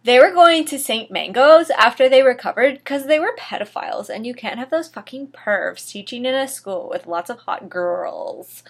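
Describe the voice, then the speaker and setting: A woman's voice: fairly high pitch, expressive, normal speed, few pauses. A woman giving a theatrical dramatic reading of a fan fiction story, with emphatic narration and dry asides.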